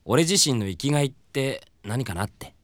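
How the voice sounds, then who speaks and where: A man acting an earnest, excitable, slightly absent-minded rival fan in a Japanese comedy audio drama, with animated, blustering masculine speech. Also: mid-range voice, moderate pace, dry recording, clean studio sound, close to an everyday voice. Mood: earnest, reflective.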